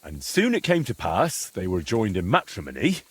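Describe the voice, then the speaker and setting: An actor playing an earnest young adventurer in a video game, polite but easily exasperated. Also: male voice, deep voice, huge pitch swings, normal speed, breathy edge, heavily acted. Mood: halting recital. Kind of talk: video game dialogue acting.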